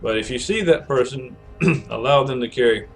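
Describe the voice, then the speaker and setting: A man's voice: medium pitch, normal speed, soft background music. A man streaming a video game, chatting casually and rambling with many self-corrections, his voice cracking from a cold. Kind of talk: casual gameplay commentary.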